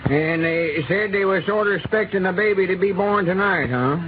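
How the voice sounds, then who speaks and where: A man's voice: sits high, normal speed, lightly acted. An actor playing a folksy old country man with a rural Arkansas drawl in a 1930s radio comedy. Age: older. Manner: drawling backwoods dialect, reassuring. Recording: tinny old radio transcription with hiss.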